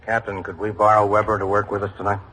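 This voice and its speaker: A man's voice: lowish voice, monotone, fast talker, bright, forceful tone, husky. A man playing a businesslike American police lieutenant in an old radio drama, speaking in terse, official phrases. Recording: noisy vintage radio drama recording.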